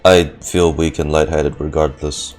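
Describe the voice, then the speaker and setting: A man's voice: rumbling low, flat delivery, a little slow, music in the background. A man giving casual livestream reactions to a game, groaning and exasperated. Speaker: game streamer reacting to a story quest.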